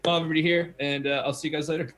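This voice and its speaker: A man's voice: a little high, flat delivery, very fast, a bit bright. A man who streams games, chatting casually as a player. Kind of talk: casual chat.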